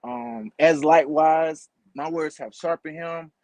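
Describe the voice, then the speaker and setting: A man's voice: a little high, unhurried, highs cut off, crowd noise. A man co-hosting a podcast in casual interview chat, emphatically rephrasing things for listeners. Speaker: podcast co-host.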